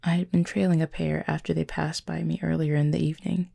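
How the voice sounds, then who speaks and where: Woman doing a soft formal cupid for audio rp, real gravelly n husky, kinda wistful, measured. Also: sits low, level tone, heavily acted. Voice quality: husky, breathy, creaky. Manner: measured, formal, soft-spoken with breathy pauses. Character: gentle, formal, otherworldly wounded cupid, composed and wistful. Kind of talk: intimate audio roleplay monologue.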